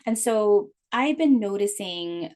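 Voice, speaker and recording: A woman's voice: medium pitch, lively intonation, slow pace, darkish tone. A woman from Hawaii hosting her own podcast, talking in an earnest, conversational way with many fillers and restarts. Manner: laughs often. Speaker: podcast host. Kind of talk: casual solo podcast monologue.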